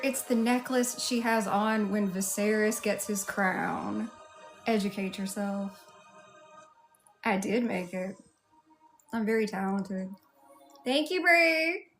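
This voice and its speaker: A woman's voice: fairly high pitch, fairly bright tone. A woman with a Southern US accent hosting a casual livestream, chatting and addressing viewers one by one. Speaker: livestream host.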